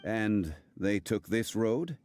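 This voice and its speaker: An actor playing a composed, scholarly gentleman in a video game, speaking in a formal, measured, stately manner. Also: fairly low pitch, expressive, slight background noise. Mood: pensive.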